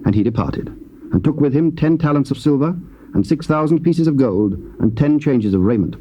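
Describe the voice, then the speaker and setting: Mellow English male Bible narrator. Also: a little high, moderate pace, slight background noise. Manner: steady and formal. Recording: dull lo-fi tape.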